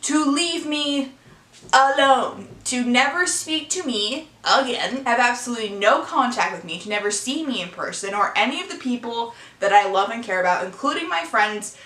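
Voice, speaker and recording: Young woman, late teens, bright voice, earnest, emotional, halting, confessional vlog, echoey bedroom. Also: fairly high pitch, lively intonation. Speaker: vlogger. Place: her own room. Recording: echoey room sound.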